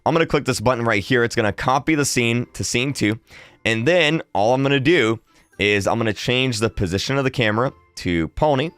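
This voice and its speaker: A man's voice: medium pitch, fairly quick, a bit bright, clean tone, no room sound, soft background music. A young adult man giving a casual, chatty tutorial commentary as a gaming video host, upbeat and close on the microphone. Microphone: close desk microphone on boom arm.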